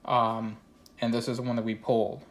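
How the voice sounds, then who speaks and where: A man's voice: medium pitch, normal speed. A man showing and pricing trading cards in a casual vlog, a little congested and nasal, talking earnestly with many fillers and restarts. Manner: steady, earnest, repeats opinions with many fillers. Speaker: card collecting channel host. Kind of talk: casual card showcase vlog.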